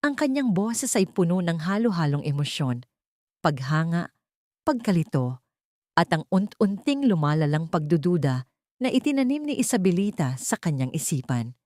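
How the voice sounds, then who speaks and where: Lady reading a drama audiobook, kinda low voice, really dry close recording. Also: very animated, normal speed, darkish tone, no room sound. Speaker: audiobook narrator. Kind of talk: dramatic scripted story narration.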